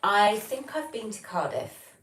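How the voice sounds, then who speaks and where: A woman's voice: mid-range voice, very animated, unhurried. A British woman hosting a livestream, chatting casually and informally and reading out comments from viewers. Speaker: livestream host. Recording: roomy, distant mic, noticeable background noise.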